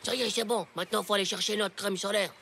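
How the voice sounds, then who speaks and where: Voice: medium pitch, steady tone, fast, creaky, heavy background noise, natural voice. An actor voicing a brash, stubborn ten-year-old boy in a French cartoon, with a childlike voice and slangy delivery. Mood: scheming. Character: ten-year-old schoolboy, cheeky, stubborn, excitable schemer. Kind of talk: French animated cartoon dialogue.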